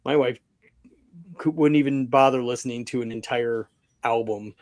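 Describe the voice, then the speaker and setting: A man's voice: medium pitch, steady tone, normal speed, darkish tone, no echo, studio quality. A man in his fifties who hesitates and repeats words, laughing often in casual chat. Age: 50s. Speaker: co-host.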